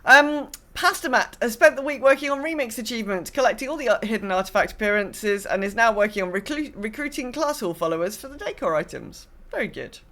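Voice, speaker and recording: A woman's voice: on the high side, lively intonation, a little fast, few pauses, bright, forceful tone. A woman, co-hosting a podcast in casual conversation about online gaming. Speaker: podcast host. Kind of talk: casual chat about an online video game.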